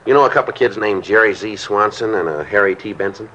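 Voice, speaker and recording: An actor playing a businesslike American police detective, terse and matter-of-fact in both procedural narration and questioning. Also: male voice, fairly low pitch, quick pace, pushed and bright, a little hiss or hum. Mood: firm. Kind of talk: clipped procedural narration and dialogue. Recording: dull vintage broadcast.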